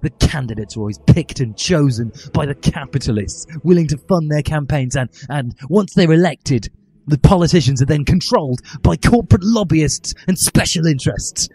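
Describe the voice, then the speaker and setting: A man's voice: a little high, animated, normal speed, warm tone, husky, voice pushed up. A man voicing an angry, self-righteous revolutionary teenage boy with an indignant, sarcastic, ranting delivery.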